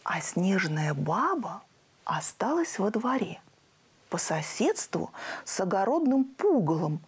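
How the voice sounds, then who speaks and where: A middle-aged woman telling a children's story as the host of a puppet show, expressive and lively. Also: medium pitch, slow pace, husky. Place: cozy studio set. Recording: music playing behind.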